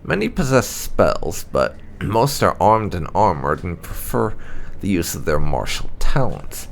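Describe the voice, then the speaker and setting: A man reading game lore entries aloud as scripted narration, in a formal, measured, deliberate way. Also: sits low, sing-song, gravelly, a little hiss or hum. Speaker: gaming channel host.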